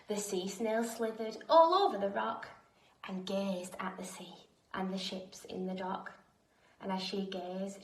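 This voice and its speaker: A woman reading a rhyming children's story aloud like a primary teacher, with expressive, dramatic emphasis. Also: medium pitch. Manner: animated, dramatic emphasis with audible gasps. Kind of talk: expressive picture-book read-aloud. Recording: roomy, echoing indoor sound.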